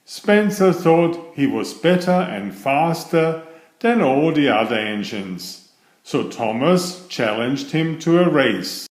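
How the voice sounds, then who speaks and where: Slow, expressive man reading in an echoey room. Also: on the high side. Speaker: storybook reader. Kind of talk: read-aloud children's bedtime story narration. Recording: roomy, echoey sound.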